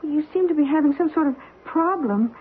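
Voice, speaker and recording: An actress playing a polite, deferential secretary in an old radio drama, concerned and a little hesitant. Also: female voice, sits high, lively intonation, a little fast. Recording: muffled, faintly noisy old radio recording.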